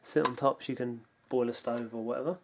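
A British man giving a casual, unscripted rundown of his kit in a low-key, halting way, as if thinking aloud. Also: fairly even intonation, gravelly. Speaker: bushcraft hobbyist presenting his kit. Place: indoors on a wooden floor at home. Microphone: camera mic, a short distance away. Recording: noisy home video, clattering gear. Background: clicks and clatter of handled gear.

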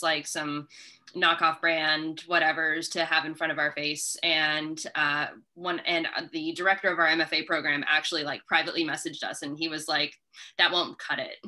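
A woman in her thirties on a video-call panel, talking in a chatty, quick way. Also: deep voice, level tone, bright, forceful tone. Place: room indoors.

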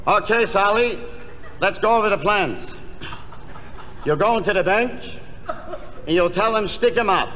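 A slow, condescending crook boss. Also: male voice, sits high, sharp tone. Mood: bossy, instructing. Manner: deliberate, condescending. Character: exasperated, bossy, self-important bank-robber boss. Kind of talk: 1960s comedy album sketch acting. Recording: noisy, reverberant 1960s comedy record.

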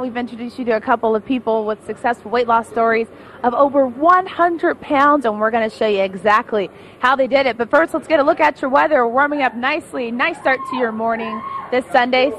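A high-voiced woman delivers a bright, upbeat live morning TV report. She speaks into a handheld mic inside a busy indoor gym, with faint gym noise behind her. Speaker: field reporter.

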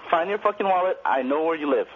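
A man's voice: on the high side, animated, fast, a loud crowd. A man who has been prank called, angrily cursing and repeating himself as he argues over the phone. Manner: angry, cursing, repetitive. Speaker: prank call victim. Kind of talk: angry phone argument. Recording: dull lo-fi phone line with people in the background.

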